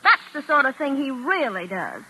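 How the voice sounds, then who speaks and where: A young Canadian secretary in a vintage radio play, pert and unimpressed. Her voice is high and crisp-edged with a bright, forceful tone, and she argues in a matter-of-fact, stubbornly literal way. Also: sing-song, moderate pace, exaggerated voice, poor audio. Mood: stubborn, defiant. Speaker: actor. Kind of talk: 1940s radio drama acting. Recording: vintage 1940s radio recording.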